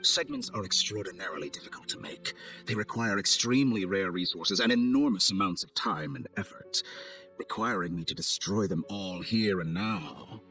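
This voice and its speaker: Casting: coldly amused villain scientist, lowish voice, formal and theatrical, prominent game score underneath. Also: male voice, sharp tone. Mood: intrigued, calculating. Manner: theatrical, condescending, measured and formal. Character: suave, arrogant male villain scientist, coldly amused schemer. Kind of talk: video game cutscene acting. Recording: studio voice over game music.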